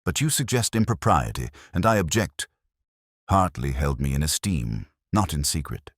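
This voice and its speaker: A man's voice: rumbling low, sing-song, moderate pace, no echo, clean studio sound, heavily acted. A man voicing a composed, proud and defensive woman in an audiobook mystery, speaking firmly and formally. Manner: firm, formal.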